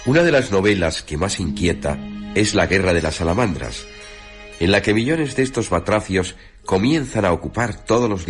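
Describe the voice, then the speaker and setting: A man with a Castilian Spanish accent giving a formal, measured literary reading on the radio. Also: low voice. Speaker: radio reader and narrator. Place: radio studio. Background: faint music.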